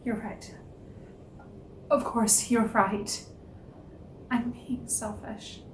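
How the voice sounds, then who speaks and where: A woman's voice: on the high side, fairly even intonation, very slow, slight background noise. A woman playing a proud, passionate wife and mother in a theatrical period drama table read, dramatic and earnest, on a roomy, distant microphone. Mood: anguished, hesitant.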